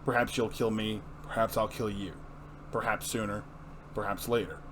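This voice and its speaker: A man's voice: fairly low pitch, little pitch movement, normal speed, fairly bright tone, close to an everyday voice. Man reading as grim, brooding vigilante, noisy recording. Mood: solemn, grave. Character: grim, brooding male vigilante hero, earnest and threatening. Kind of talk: amateur dramatic comic book reading.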